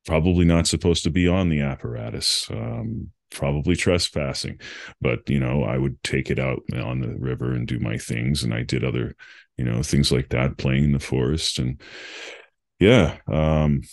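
Very deep gravelly-voiced man, reflective. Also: normal speed. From New England. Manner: thoughtful, rambling, with frequent fillers and laughs. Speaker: podcast guest, meditation coach. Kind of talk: reflective conversational storytelling.

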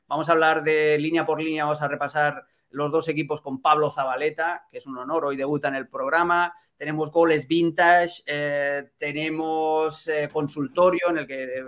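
Catalan-raised football presenter; higher male voice, animated and opinionated, fillers. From Catalonia, Spain. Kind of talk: live podcast chat.

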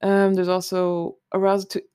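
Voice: mid-range voice, completely flat delivery, moderate pace, warm tone, smooth, dry recording, studio quality. A host chatting casually and candidly alone, rambling informally with some swearing. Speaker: solo podcast host. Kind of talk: casual rambling chat, commenting on a list.

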